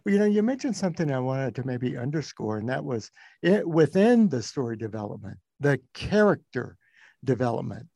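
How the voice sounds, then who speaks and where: Mellow male podcast host, dry sound. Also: medium pitch, sing-song, a little fast, creaky, no room sound. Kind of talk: conversational interview questions.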